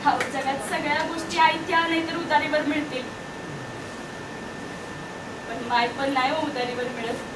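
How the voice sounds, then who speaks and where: A woman's voice: high-pitched, fairly even intonation, normal speed, pauses often, natural voice. A woman playing a caring village wife who pleads with and coaxes her grieving husband in rural Maharashtrian Marathi, in a stage drama. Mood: earnest pleading. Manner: coaxing, pleading. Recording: distant echoey stage recording, loud noise.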